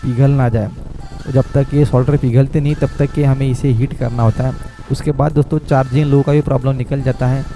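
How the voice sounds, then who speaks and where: Man, repair technician, step-by-step tutorial delivery; very dark tone, faint music underneath. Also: medium pitch, steady tone, fairly quick. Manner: explains step by step, often says "friends" to viewers.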